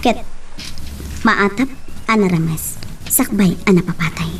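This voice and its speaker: A woman's voice: mid-range voice, slow, natural voice. A woman acting an earnest, pressing woman mayor in an Ilocano radio love drama. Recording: somewhat distant mic, faint noise.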